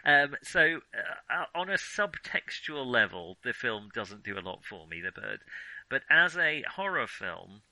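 Man, podcast host, sharp bright voice, close dry sound, opinionated, digressive, casual film chat. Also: mid-range voice, sing-song, unhurried, no room sound, poor audio.

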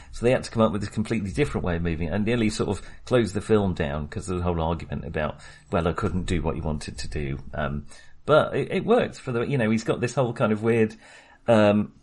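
A man's voice: on the low side, darkish tone, husky. A man co-hosting a casual film chat, talking hesitantly with many restarts. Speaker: podcast co-host.

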